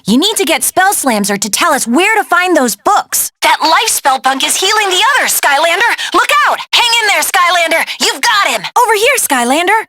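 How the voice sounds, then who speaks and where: Bright, high-voiced young game heroine. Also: female voice, sing-song, normal speed, few pauses, heavily acted. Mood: encouraging. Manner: urgent, encouraging mission directions. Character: brave, caring young woman guiding heroes through battle. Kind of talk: video game character voice lines.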